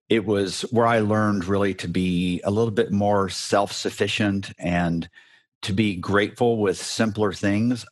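A man with a very deep voice, recorded close and dry. He talks casually and wryly as an interview guest, often chuckling. His manner is self-deprecating and relaxed. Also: no room sound. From Central America. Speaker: guest, business advisor.

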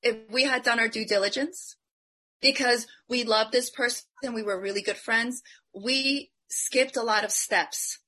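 Forceful, bright-voiced motivational woman. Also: fairly high pitch, unhurried. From Colombia. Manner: emphatic, motivational, animated. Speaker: panelist. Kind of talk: live online panel discussion.

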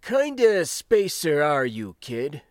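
A man's voice: a little high, huge pitch swings, slow pace, no echo, clean studio sound, pitched above the performer's own voice, exaggerated voice. A man voicing a proud old spacer cargo hand in an audiobook story, with folksy, clipped, rambling old-timer talk. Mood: wry, friendly. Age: older.

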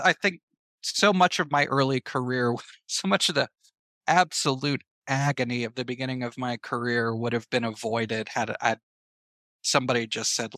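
A man with a bright, forceful mid-range voice talks as a guest in a casual interview. He is thoughtful and emphatic, pausing mid-sentence, recorded dry and close. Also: medium pitch, no echo. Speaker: guest, writer and audio drama creator. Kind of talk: casual, reflective interview talk.

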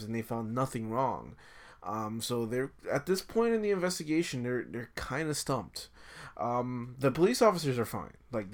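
A man retelling a news story on a podcast in casual chat, rambling with many fillers and restarts. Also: mid-range voice. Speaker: podcast host.

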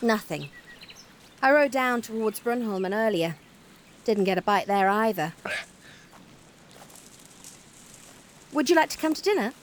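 A woman acting a practical countrywoman in a wartime audio drama. Her delivery is animated, brisk and dry, shrewd but generous. There is faint rural outdoor sound and birdsong around her. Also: a little high, slight background noise, natural voice. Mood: surprised, friendly.

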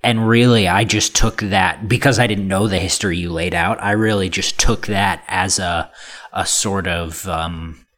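A man in his thirties co-hosting a comics podcast, explaining his take in halting, hesitant bursts with dry jokes. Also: sits low, fairly even intonation, a bit bright. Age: 30s. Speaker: podcast co-host. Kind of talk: casual book club discussion.

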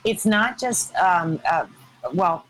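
A woman's voice: lowish voice, slow, a bit bright, slight background noise, rough recording. A woman in her fifties with an American accent, telling stories casually as a podcast guest. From Ohio, United States. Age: 50s. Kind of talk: casual conversational storytelling.

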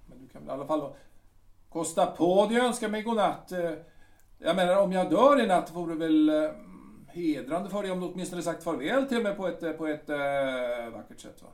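A man plays an ailing artillery captain, stubborn yet terrified of death. He hesitates, pleads and insists by turns in an audio drama. The voice sits a little high and sounds roomy and distant from the mic. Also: expressive, close to an everyday voice. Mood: pleading. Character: sick aging artillery captain husband, proud and fearful. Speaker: Edgar.

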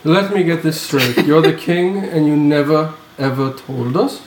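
A woman's voice: sits low, a little slow, darkish tone. A woman voicing a brash, exasperated, wisecracking male cartoon meerkat in a comic script read. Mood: indignant. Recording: echoey room with faint noise.

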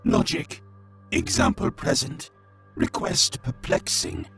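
An actor playing a coldly logical machine cultist who speaks in clipped, telegraphic fragments in an audio drama. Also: male voice, fairly high pitch, wildly swooping pitch, slow pace, gravelly, fairly bright tone, exaggerated voice. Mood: coldly analytical. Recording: music behind the voice.

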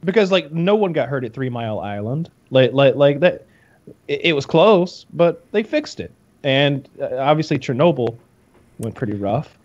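A man's voice: medium pitch, very animated, normal speed, a little mellow, lo-fi sound. A man in casual chat over a video call, joking crudely and swearing.

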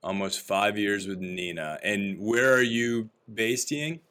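A man's voice: fairly low pitch, slow pace, pushed and bright. A man hosting an interview podcast, asking questions in an upbeat, encouraging way. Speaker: podcast host.

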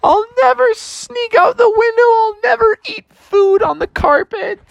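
A woman acts out a young boy bear for a children's story. The voice is very high and swings widely in pitch, with earnest, pleading melodrama. The sound is close and dry. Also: lively intonation, a little fast, vocal fry, no room sound, muffled recording. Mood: tearful pleading.

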